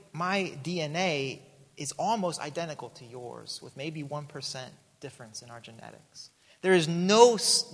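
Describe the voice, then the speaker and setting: A man's voice: on the high side, fairly bright tone, big-room sound. A man in his thirties who grew up in South America, preaching a sermon in an earnest way and often restarting his sentences. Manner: earnest, repeats words when starting a thought. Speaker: pastor.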